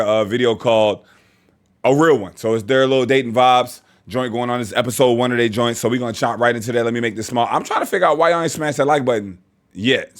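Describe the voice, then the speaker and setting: A man hosting a reaction video, joking and swearing in casual commentary. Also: medium pitch. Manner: joking, animated, profane.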